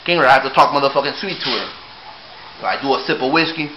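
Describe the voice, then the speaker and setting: A man's voice: mid-range voice, fast. A man from Cuba, telling a rambling, profane comic story and slipping words into his English. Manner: animated, profane, drops into Spanish words. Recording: echoey room with faint noise.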